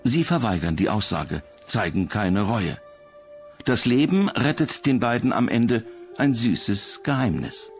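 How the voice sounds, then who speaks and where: A man's voice: on the low side, lively intonation, unhurried, soft background music. A man reading scripted documentary narration as the narrator.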